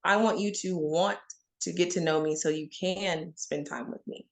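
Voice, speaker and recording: A woman's voice: on the low side, fairly even intonation, normal speed, creaky. A woman from Oklahoma giving candid, emphatic answers as an interview guest, speaking straight to the audience. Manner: frank and emphatic, addresses listeners as y'all.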